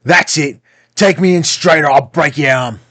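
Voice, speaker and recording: A cruel, soft-spoken blind old beggar, high and drawn out in a wheedling sing-song. The voice is slow and heavy with menace. It sounds close and dry, with no room around it. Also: male voice, no room sound. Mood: menacing. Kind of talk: audiobook character voice.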